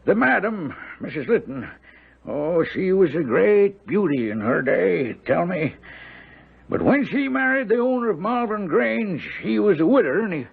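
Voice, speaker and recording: Raspy, folksy country servant. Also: male voice, high voice, sing-song, a little slow, full character voice. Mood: gossipy, wistful. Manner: folksy, rambling storytelling. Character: kindly folksy country servant man, gossipy and gentle. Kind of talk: old-time radio drama acting. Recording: tinny, narrow-band radio broadcast.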